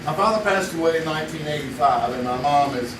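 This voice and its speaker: A chatty middle-aged man, played by an actor tells a warm family anecdote and laughs easily. His voice is slightly raised in pitch. He is heard from afar in a roomy hall with audience noise. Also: fast talker, natural voice. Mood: amused. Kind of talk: live radio play on stage. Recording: echoey stage recording from far off, with audience noise.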